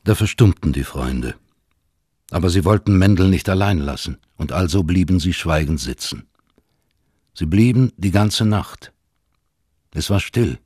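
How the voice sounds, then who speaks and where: A man narrating literary fiction for a radio play in a husky voice, with measured, sober storytelling. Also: deep voice, expressive, darkish tone, no echo, clean studio sound.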